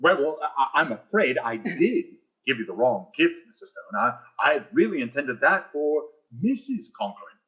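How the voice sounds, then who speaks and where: An actor playing a flustered, fawning school principal who stammers and blusters, in 1940s radio sitcom style. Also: male voice, a little high, very animated, normal speed, fairly bright tone, muffled recording. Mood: sheepish.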